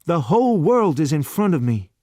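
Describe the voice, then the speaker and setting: A man voicing a naive, eager seventeen-year-old boy who exclaims with wide-eyed excitement. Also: fairly high pitch, a little slow, no echo, clean studio sound, pitched above the performer's own voice.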